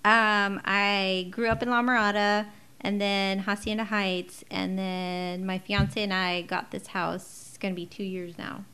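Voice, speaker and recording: Young woman therapist, chatty podcast guest, laughs easily, lots of "like" fillers, medium-pitched voice. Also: flat delivery, unhurried, sharp tone, smooth. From Southern California, born in Mexico. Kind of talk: casual podcast chat.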